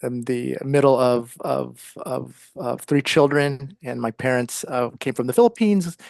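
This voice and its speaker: A middle-aged man with an American accent, speaking conversationally as an interview guest, with halting, um-filled phrasing. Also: mid-range voice, creaky, darkish tone, no room sound. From Ohio, United States. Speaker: interview guest, psychologist and author.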